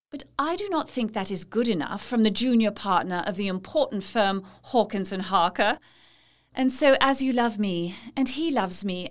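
A woman's voice: a little high, close to an everyday voice. A woman playing a devoted, cheerful young newlywed reading her affectionate, old-fashioned letter in an audio drama.

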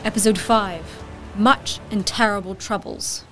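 A woman's voice: medium pitch, steady tone, measured pace, a bit bright, soft background music. A woman narrating a scripted recap for an audio drama.

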